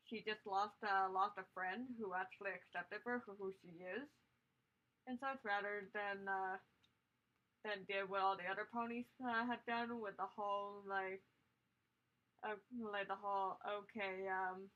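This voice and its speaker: A woman chatting casually on a livestream as she works, halting and thinking aloud as she goes. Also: mid-range voice, fairly even intonation, dull audio. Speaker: streaming artist, host. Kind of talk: casual rambling livestream chat while drawing.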